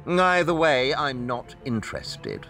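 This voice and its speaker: An actor playing a shrewd, self-assured detective with a clipped, didactic manner in an audio drama. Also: male voice, medium pitch, huge pitch swings, slow pace, vocal fry, bright, forceful tone, faint music behind, heavily acted. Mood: dry, unruffled.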